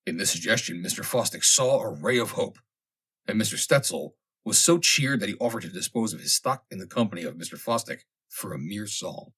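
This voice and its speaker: A man reads a comic vintage story aloud as scripted narration, in a measured, dramatic storytelling manner with deliberate pauses. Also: on the low side, expressive, fairly bright tone, husky. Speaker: audiobook reader.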